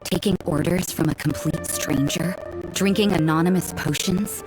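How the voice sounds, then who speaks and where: A woman's voice: fairly low pitch, normal speed, natural voice, rough recording. An actress playing a cool, cynical woman who patrols for threats, speaking in a dry, dismissive and suspicious way. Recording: backing music.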